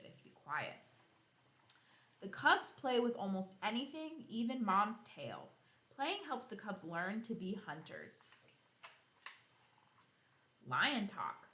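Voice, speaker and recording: A woman teacher reading a children's nonfiction book aloud, expressively, pausing to explain and think out loud for young pupils. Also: medium pitch, reverberant, low-quality recording. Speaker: elementary school teacher.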